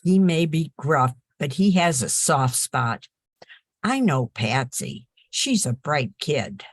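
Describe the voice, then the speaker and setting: An older woman playing a sensible, sharp-tongued farm girl in a 1940s radio play, folksy and firm. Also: low-pitched, very animated, takes it slowly, slightly rough, no echo, studio quality, heavily acted. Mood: exasperated, firm.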